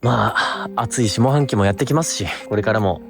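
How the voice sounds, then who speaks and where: A man's voice: on the low side, moderate pace, vocal fry, over music. A man co-hosting a paranormal investigation outdoors at night, chatting casually but politely, full of questions and exclamations. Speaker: paranormal video co-host. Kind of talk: casual reactive chat, junior-style polite speech.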